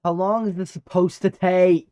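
A man's voice: high voice, little pitch movement, moderate pace, darkish tone, smooth, no room sound, lightly acted. A man playing a boisterous, impatient big party-lover in a fantasy audio drama, exuberant and prone to grumbling.

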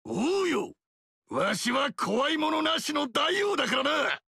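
A man voicing a boisterous, proud barbarian king with a gravelly, blustering, shouting delivery in video game dialogue. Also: very high-pitched, big pitch swings, measured pace, dry recording, studio quality, exaggerated voice. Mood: boastful. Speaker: warlord character.

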